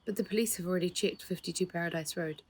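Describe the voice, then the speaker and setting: A woman's voice: lowish voice, fast, husky, voice pushed up. A woman voicing a concerned, insistent mother in a children's story. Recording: noticeable background noise.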